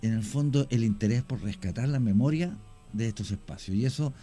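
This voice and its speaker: A man, a radio guest explaining his cemetery work at length, often pausing with fillers. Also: mid-range voice, mellow, faint music behind. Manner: explanatory, hesitant with many fillers. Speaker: guest, cemetery tour creator. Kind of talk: radio interview.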